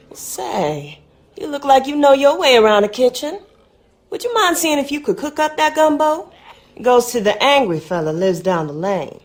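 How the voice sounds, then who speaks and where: An actress playing a folksy small-town tavern barmaid in a video game, with a casual Southern US drawl. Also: female voice, fairly high pitch, natural voice. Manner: casual, familiar, colloquial drawl.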